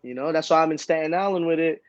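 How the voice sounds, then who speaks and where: A man from Brooklyn, a comic creator talking in a relaxed way with street slang as an interview guest. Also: a little high, fast talker, no room sound, lo-fi sound. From Brooklyn, New York. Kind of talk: casual interview chat.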